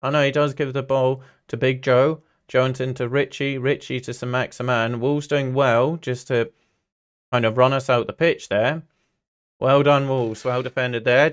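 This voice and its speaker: A man from Singapore hosting a casual football watch-along, rambling chattily between viewer chat, anecdotes and play-by-play. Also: mid-range voice. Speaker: football livestream host, Newcastle fan.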